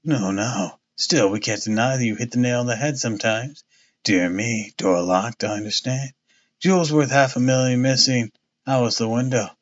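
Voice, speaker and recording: A man voicing a stout, pompous police inspector with a husky, wheezing tone, blustering and repeating himself. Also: medium pitch, moderate pace, muffled recording, close to an everyday voice.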